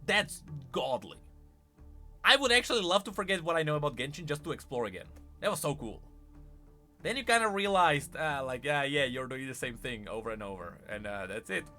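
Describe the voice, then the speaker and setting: Male gaming streamer, slightly high voice with lively swings, casual and conversational, music behind him. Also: a little fast. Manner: conversational, thinking aloud with repeated rhetorical questions and emphatic repeats. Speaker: streamer and host of a gaming news channel. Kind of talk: casual live-stream commentary on gaming news. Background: background music.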